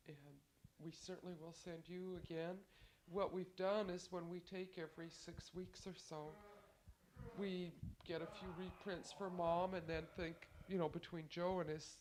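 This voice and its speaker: A woman's voice: lowish voice, flat delivery, a little slow, darkish tone, a little hiss or hum, poor audio. A woman with a stuffy, congested nose recording a chatty, rambling spoken letter to family at home on a cassette recorder. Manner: chatty, rambling, musing aloud. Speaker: family member sending a taped letter.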